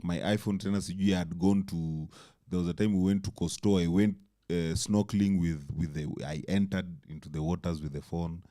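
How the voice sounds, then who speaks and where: A man with a big voice, co-hosting a casual podcast, animated and swearing freely. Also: sits low, normal speed. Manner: animated, swears freely, slips into Swahili words. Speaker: podcast co-host.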